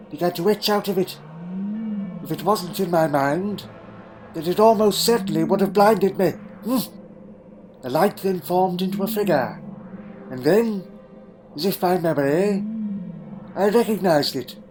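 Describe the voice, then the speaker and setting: A haunted old alien wanderer, played by a man in an audio drama, with a notably high voice for a male. He recounts his past in a grave, deliberate manner, humming as he ponders, with music playing underneath. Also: poor audio. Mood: haunted, uneasy. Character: elderly male alien time traveller, haunted and secretive. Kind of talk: fan audio drama acting.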